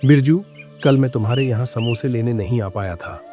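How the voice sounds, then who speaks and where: A considerate rich merchant played by a man in a children's moral cartoon. His mellow, mid-pitched voice is measured and fair, with light music behind. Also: lightly acted. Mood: apologetic. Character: kindly, fair wealthy male merchant customer. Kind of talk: Hindi children's moral-story cartoon dub.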